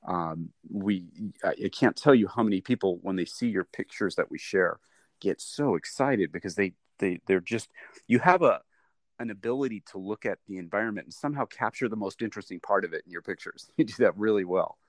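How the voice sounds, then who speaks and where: A male podcast host interviews a guest. His voice is fairly low and the sound is dry. He is eager and keeps stumbling over repeated words and fillers. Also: brisk, no echo. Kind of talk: casual interview.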